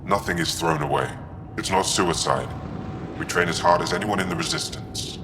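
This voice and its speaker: An actor playing a grave, fanatical, fearless alien warrior leader in a sci-fi video game. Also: male voice, deep voice, moderate pace. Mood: scornful, resolute. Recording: long reverb, clear background noise.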